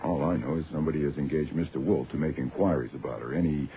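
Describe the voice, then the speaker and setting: An actor playing a breezy, self-assured private detective with a flippant, colloquial delivery in a radio drama. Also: male voice, rumbling low, fast talker, a little hiss or hum. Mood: brisk, persuasive. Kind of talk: hardboiled detective radio drama, narration and dialogue. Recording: tinny band-limited old radio, music behind.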